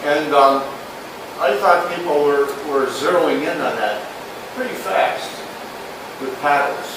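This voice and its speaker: A man's voice: a little high, measured pace. A man offering comments in a casual club discussion, speaking hesitantly. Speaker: club member commenting on a class. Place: club meeting room, far from mic. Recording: echoey room, distant mic, background noise.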